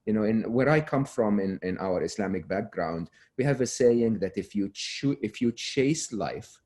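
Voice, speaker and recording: Deep-voiced man, earnest. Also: lively intonation, normal speed, darkish tone. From Egypt. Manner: earnest, frequent restarts, drops voice to close points. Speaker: guest. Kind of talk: relaxed interview talk.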